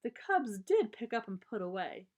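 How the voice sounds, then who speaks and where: A woman reading a children's storybook aloud expressively, pausing to explain words simply in an emphatic way for young listeners. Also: mid-range voice, big pitch swings, a little mellow, dull audio.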